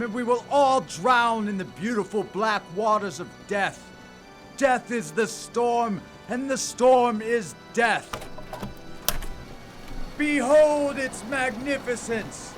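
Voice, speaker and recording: An actor playing a deranged, doom-obsessed gentleman in a gothic audio drama, declaiming ominously and theatrically. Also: very high-pitched, exaggerated voice. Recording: loud dramatic music underneath.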